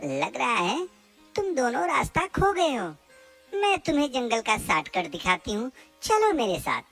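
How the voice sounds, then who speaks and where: A cunning vixen from a children's cartoon, acted by a woman, with a high and lively voice. She is sly and inviting over faint music. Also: a little slow, heavily acted. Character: cunning female fox, falsely helpful. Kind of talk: children's cartoon story acting.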